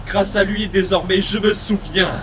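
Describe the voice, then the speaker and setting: Man playing a brooding, high-voiced demon boy. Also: fairly even intonation, noisy background, natural voice. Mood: cold menace. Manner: halting, brooding, menacing. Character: brooding, bitter demon boy, menacing and wounded. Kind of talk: dramatic comic dub acting.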